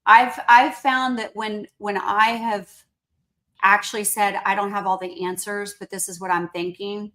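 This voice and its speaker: A woman guest speaking as a company chief in a conversational interview, earnest and explanatory, often checking in with a quick tag question. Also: mid-range voice, little pitch movement, pushed and bright. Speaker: company CEO, podcast guest.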